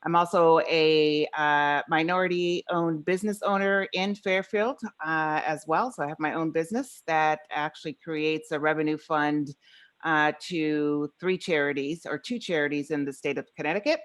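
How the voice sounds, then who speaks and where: A woman's voice: low-pitched, steady tone, normal speed. A woman in her fifties or older, a professor, introducing herself as a task force member on a video call. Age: 50s or older. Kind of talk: self-introduction in a meeting.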